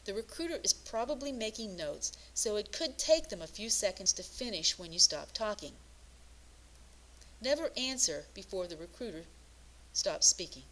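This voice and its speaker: A woman presenting scripted instructional narration as a career coach, speaking in a measured, instructive manner. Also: medium pitch, animated, fairly bright tone, low-quality recording.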